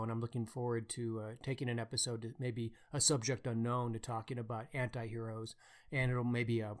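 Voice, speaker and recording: Man, medium pitch, podcast co-host, informal book talk. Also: fairly bright tone. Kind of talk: casual book discussion.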